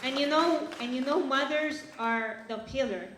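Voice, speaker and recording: A young woman from Ecuador speaks earnestly at an awards event, pausing and restarting as she goes. Her voice sits a little high. It rings around an echoey hall. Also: crowd noise, noisy background. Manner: earnest, halting, with fillers and restarts. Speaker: award recipient giving a speech. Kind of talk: personal acceptance speech.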